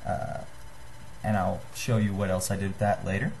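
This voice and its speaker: A man's voice: little pitch movement, moderate pace, vocal fry, darkish tone. Young man, casual how-to, noisy webcam recording. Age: young adult. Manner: apologetic, hesitant, self-deprecating. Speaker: DIY video maker giving a tutorial. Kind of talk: casual unscripted how-to talk. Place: small room, indoors. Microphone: built-in webcam at desk distance. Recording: noisy low-grade webcam microphone.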